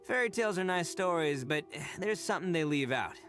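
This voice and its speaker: Brash, animated young ogre. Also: male voice, a little high, fairly quick, soft background music. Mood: frank, earnest. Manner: slangy, hesitant ums, big exclamations. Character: brash, good-hearted young oni gang boss. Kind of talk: video game character voice acting.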